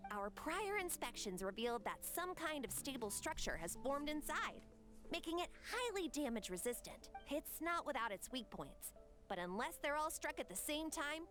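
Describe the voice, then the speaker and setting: A woman's voice: high-pitched, fairly bright tone. A woman voicing a careful young technical expert who explains things in a video game. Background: faint music.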